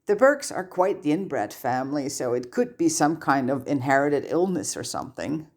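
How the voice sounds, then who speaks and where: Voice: medium pitch, animated, moderate pace. A narrator voicing a casual, matter-of-fact young wizard boy with a slightly wistful streak in an audiobook story.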